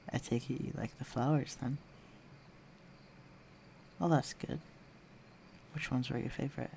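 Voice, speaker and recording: A man's voice: a little high, darkish tone, a little hiss or hum. A man playing a flirtatious, teasing villain with a secretly tender side, speaking intimately to the listener in an audio roleplay. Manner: teasing, coaxing, breathy murmurs.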